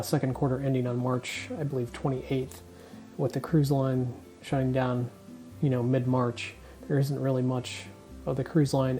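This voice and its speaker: Man, podcast host, informal commentary, dark relaxed tone, fairly flat pitch, music underneath.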